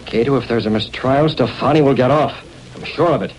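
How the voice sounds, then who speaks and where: A man's voice: mid-range voice, brisk, darkish tone, close to an everyday voice. A man acting a stern, shrewd masked vigilante in an old-time radio drama, speaking formally and with command. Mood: grave, resolute. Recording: noisy old radio recording.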